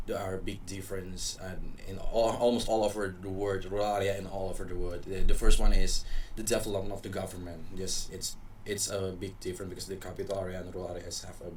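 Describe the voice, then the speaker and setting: Deep-voiced young Indonesian man, halting English. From Papua, Indonesia. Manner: hesitant, searching for words. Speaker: student podcast guest. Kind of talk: casual chat. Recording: distant mic with faint noise.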